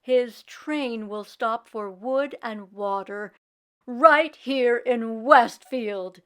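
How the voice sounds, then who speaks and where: Woman reading as proud papa, calm, steady, unhurried, children's story. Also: a little high, smooth. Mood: announcing news. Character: calm, proud father of an 1860 family.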